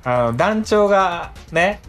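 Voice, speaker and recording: A man hosting a casual podcast chat, explaining things in a hesitant, halting way with lots of softeners. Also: sits high, expressive, faint music behind. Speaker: radio show host.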